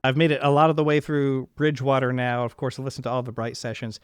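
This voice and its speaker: A man hosting a podcast interview in a conversational style, with an animated way of talking that slips into imagined quotes. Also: mid-range voice, quick pace, clean tone, no echo, clean studio sound, soft background music.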